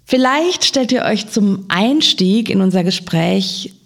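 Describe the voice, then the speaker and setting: A woman hosts a podcast round table. She introduces her guests and asks questions in a clear, friendly way, at an even middle pitch. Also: slow pace. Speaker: podcast host. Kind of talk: podcast interview moderation.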